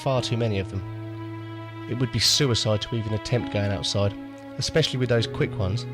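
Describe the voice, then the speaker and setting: A man's voice: lowish voice, a little fast, over music, lightly acted. A man playing a wry, understated survivor keeping a radio diary in an audio drama. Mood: wry, resigned. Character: wry male survivor and amateur radio host.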